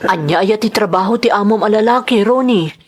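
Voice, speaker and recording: A woman's voice: mid-range voice, brisk, slightly rough, natural voice. A woman with a Filipino accent playing a helpful, concerned female friend in an Ilocano radio drama.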